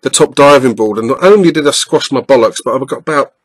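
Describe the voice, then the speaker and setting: A man's voice: mid-range voice, quick pace, breathy edge, no room sound. A middle-aged man with a stuffed-up nose, casually commenting over a retro game with lots of ums and uhs. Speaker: retro gaming YouTuber.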